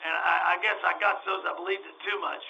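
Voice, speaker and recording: A desperate old-time radio dreamer, a washed-up circus promoter acted out by a man, pitched high and gravelly. He stammers and halts, then hammers his words in a piercingly bright voice. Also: steady tone, full character voice. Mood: wistful, fervent. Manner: stammering, halting, emphatic. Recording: dull lo-fi audio.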